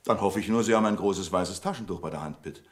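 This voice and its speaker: An actor playing a strict, irritable bank manager in a radio play, curt, officious and sarcastic. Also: male voice, low-pitched, fast talker, close to an everyday voice. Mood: dry, sarcastic. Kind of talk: German radio play acting.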